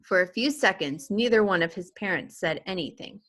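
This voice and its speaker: Bright, expressive woman reading aloud. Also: on the low side, brisk. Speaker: teacher or reader reading a children's book aloud. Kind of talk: expressive read-aloud narration.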